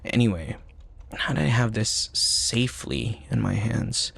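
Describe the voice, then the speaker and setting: A man playing a young college student, a protective, teasing and tender best friend, in an intimate ASMR roleplay with soft, sighing, hesitant delivery. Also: fairly low pitch, huge pitch swings, a little slow, dry recording, exaggerated voice. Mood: mock annoyed. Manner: soft, sighing, hesitant stammers, breathy asides.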